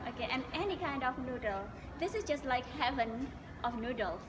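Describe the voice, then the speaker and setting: A woman with an Indonesian accent answering interview questions casually, explaining in an animated way and sometimes searching for words. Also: sits high, normal speed. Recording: echoey hall with loud background noise.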